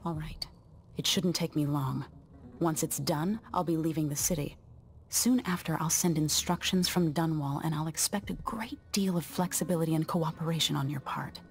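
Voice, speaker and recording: A woman's voice: low-pitched, normal speed. An actress playing a determined young royal woman in a video game, terse, controlled and businesslike. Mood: cool resolve. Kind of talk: video game cutscene acting.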